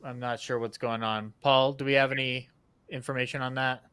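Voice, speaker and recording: A man with a bright, forward voice hosts a casual live interview. He talks haltingly, doubling back on phrases, in a very dry sound. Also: mid-range voice, little pitch movement, clean tone, no room sound. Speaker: host interviewer.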